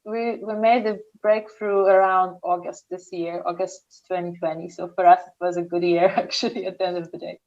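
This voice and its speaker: A young woman with an Eastern European accent, a startup founder answering interview questions slowly and carefully, often restarting her sentences. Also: fairly low pitch, little pitch movement, darkish tone, highs cut off. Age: young adult.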